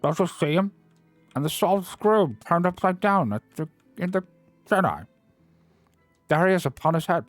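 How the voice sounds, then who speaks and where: A man's voice: normal speed, pauses often, no echo, faint music behind. A man voicing an overwrought old miser. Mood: ecstatic. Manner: breathless, excitable, high-strung delivery. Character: old male miser, overwrought between laughter and tears.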